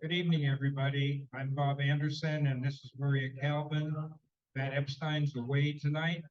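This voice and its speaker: A man co-hosting a neighborhood homeowners' meeting in a senior center hall, talking haltingly with many fillers and a slight cold. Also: on the high side, flat delivery, darkish tone, muffled recording. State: sneezing, slightly congested. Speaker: meeting co-host.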